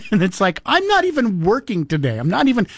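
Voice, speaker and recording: A man's voice: high-pitched, huge pitch swings, normal speed, dry recording, clean studio sound. A man co-hosting a casual morning radio show, chatty and storytelling, laughing often. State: recovering from lost voice.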